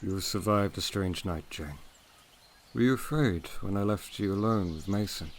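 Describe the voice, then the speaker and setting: An actor playing a brooding, commanding master of a gothic manor in a period audio drama, intense and imperious in manner. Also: male voice, low-pitched, moderate pace, a little hiss or hum. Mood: gently protective.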